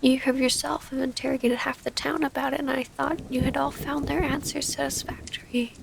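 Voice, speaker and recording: A loving vampire lady, acted by a woman for an intimate ASMR romance. Her voice is high and breathy, often near a whisper, with a heavy creak at the edges. She speaks in formal, old-fashioned phrases, wistful and gently exasperated, over falling rain and faint noise. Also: little pitch movement, brisk. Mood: pleading. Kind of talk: ASMR romantic audio roleplay.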